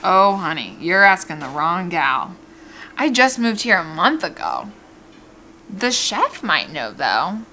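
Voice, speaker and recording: An actress playing a chatty, nosy small-town diner waitress in an audio drama, with a folksy, familiar way of talking. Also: female voice, fairly low pitch, very animated, takes it slowly, sharp tone, slight background noise, full character voice. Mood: friendly, folksy.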